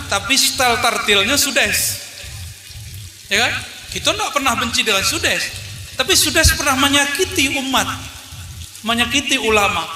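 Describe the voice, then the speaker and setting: A man's voice: very high voice, bright, forceful tone. An Indonesian man preaching an Islamic sermon emphatically, punctuated with rhetorical questions. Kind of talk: sermon to a congregation. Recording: echoey reverberant hall, music behind.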